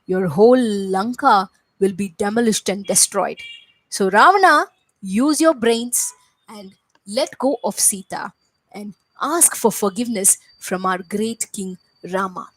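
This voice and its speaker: A woman's voice: medium pitch, a little slow, no echo, close to an everyday voice, low-quality recording. Woman playing a defiant monkey messenger. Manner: firm, defiant. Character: bold calm monkey messenger, male, proud and fearless.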